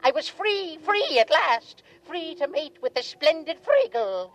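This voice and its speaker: Scheming alien creature, high voice, florid and grandiose, old radio drama, faint music behind. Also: female voice, sing-song, measured pace, a bit bright, heavily acted. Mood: smug, gloating. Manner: theatrical, grandiose, florid phrasing. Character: scheming alien creature, smug schemer turned desperate pleader. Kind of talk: 1950s radio drama acting.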